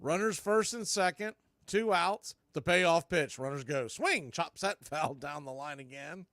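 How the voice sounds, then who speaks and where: A man's voice: fairly high pitch, unhurried, a bit bright, no room sound. A man doing live baseball play-by-play as a stream host, mixing clipped calls with folksy, joking asides and casual chat.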